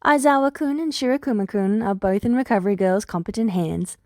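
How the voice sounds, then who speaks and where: A woman's voice: mid-range voice, a little fast, darkish tone, dry recording, clean studio sound, natural voice. A woman voicing a cheerful, shrewd little male animal principal in an audiobook-style story, blithe and inquisitive.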